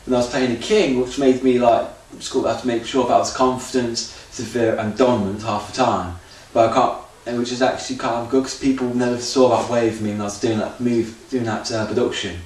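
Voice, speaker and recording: Guy rambling through an unscripted audition tape, mid-pitch voice, faint hiss, lots of room echo. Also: fairly even intonation, fairly quick, hardly any pauses, a little mellow. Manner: rambling, improvised, restarts and loose grammar. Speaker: auditioning actor. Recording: very echoey room, far from mic, faint noise.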